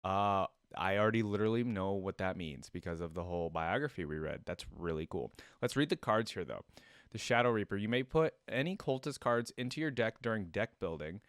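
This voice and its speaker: A man's voice: on the low side, expressive, a little fast, no echo, studio quality. A man giving casual, informal reaction commentary and chatting to his viewers. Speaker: reaction video host. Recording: music behind the voice. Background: game trailer music.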